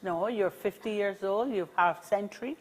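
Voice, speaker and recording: A woman's voice: medium pitch, moderate pace, poor audio. A woman in her sixties with a Barbadian accent, speaking in an encouraging, upbeat way as an interview guest and often repeating her key phrases. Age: 60s. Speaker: senior advocacy organization representative. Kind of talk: casual interview conversation.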